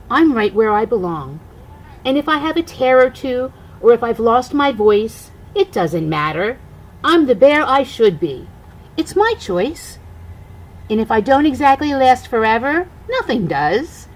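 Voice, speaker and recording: Older woman reading a storybook, higher-pitched voice. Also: big pitch swings, measured pace, rough recording. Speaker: grandmother reading aloud. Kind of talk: children's storybook read-aloud.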